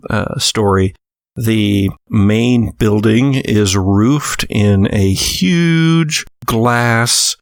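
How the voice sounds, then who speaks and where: A man narrating a tabletop horror role-playing game as its game master, describing scenes with frequent hesitant pauses. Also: on the low side, no echo, studio quality.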